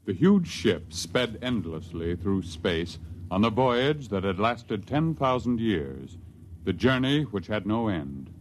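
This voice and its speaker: A grave male storyteller narrates vintage radio science fiction in a low voice. Faint noise runs under the old recording. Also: sing-song, slow. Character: grave, omniscient male storyteller of a space tale. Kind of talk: 1950s radio drama narration.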